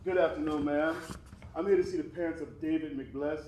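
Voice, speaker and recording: A man's voice: on the high side, steady tone, brisk, a little mellow, roomy sound, recorded from a distance, slight background noise, lightly acted, low-quality recording. An actor playing a solemn, formal man bringing bad news in a stage play.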